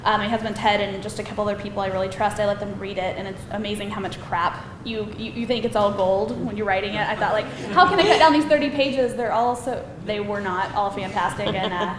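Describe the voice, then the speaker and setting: A woman writer giving casual, modest and somewhat hesitant answers on a panel. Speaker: screenwriter and novelist on a Q&A panel. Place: live film festival event room. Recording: echoey room with clear noise, rumble.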